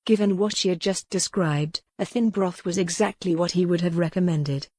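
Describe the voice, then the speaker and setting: Calm woman narrating a novel. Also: lowish voice, moderate pace, no room sound. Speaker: audiobook narrator. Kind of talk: scripted narration.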